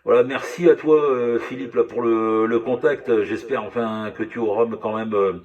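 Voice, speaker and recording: A man's voice: medium pitch, fairly even intonation, moderate pace, far from the mic, muffled recording. A man chatting casually over two-way radio as a hobby operator, speaking hesitantly, repeating himself and often apologetic.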